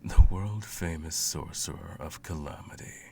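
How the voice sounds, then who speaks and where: A man playing a cruel, smug, all-powerful sorcerer in an ASMR roleplay, with a slow, condescending, menacing drawl and a gravelly voice. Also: rumbling low, full character voice. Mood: haughty, menacing. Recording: music playing under the voice. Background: music.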